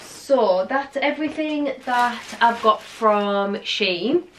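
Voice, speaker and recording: A woman's voice: fairly high pitch, animated, slow pace, noisy background. Chatty young woman. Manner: chatty, rambling, many "like" fillers. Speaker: vlogger. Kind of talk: casual haul chat. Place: living room, seated on sofa.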